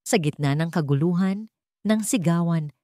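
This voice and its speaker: A woman's voice: on the low side, normal speed, mellow, no echo, clean studio sound. A woman reading a dramatic scripted story as its narrator.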